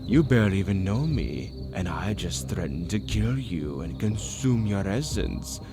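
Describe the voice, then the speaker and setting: A man voicing a seductive, menacing demon tempter in a dark romance audio roleplay, with a playful, theatrical, drawn-out delivery. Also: lowish voice, big pitch swings. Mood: amused, sly. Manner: teasing, drawn-out, theatrical delivery with frequent chuckles. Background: dark ambient music.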